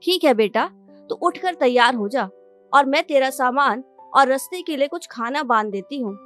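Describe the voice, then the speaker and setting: A worried village mother played by a woman in a cartoon, nagging and pleading in a high voice. Music sits behind her. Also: animated, moderate pace. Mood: caring. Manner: pleading, admonishing. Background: background music.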